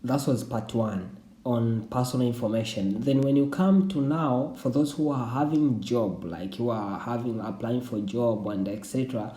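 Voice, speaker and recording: A man's voice: mid-range voice, moderate pace, warm tone. A young Tanzanian man whose first language is Swahili, explaining a screen-share tutorial in a loose, repetitive way with frequent restarts. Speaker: YouTube channel host. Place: indoor room. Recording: roomy echo.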